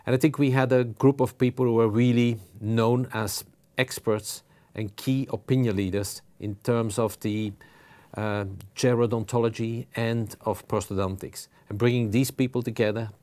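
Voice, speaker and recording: A man from Belgium with a Flemish accent, a native Flemish speaker, giving a webinar presentation slowly and carefully, with frequent pauses and fillers. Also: mid-range voice, steady tone, warm tone. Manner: slow, careful, halting with many fillers. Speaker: presenting dental researcher.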